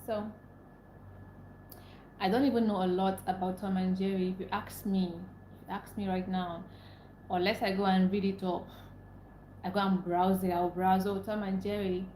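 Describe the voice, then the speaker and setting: A woman's voice: medium pitch, level tone, moderate pace, pauses often, dark tone, slight background noise. Chatty Nigerian woman livestreaming in an echoey room. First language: Igbo. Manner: chatty, repeats herself, laughs often. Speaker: livestream host. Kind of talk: casual livestream chat reading comments. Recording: echoey room, faint ambience.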